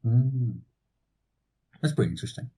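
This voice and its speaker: A young man from Portugal co-hosts a loose podcast chat, relaxed and casually profane. His voice is husky and mellow, heard through a cheap microphone. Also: mid-range voice, moderate pace. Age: young adult. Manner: relaxed, swears casually, laughs often. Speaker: podcast co-host. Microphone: cheap low-quality mic.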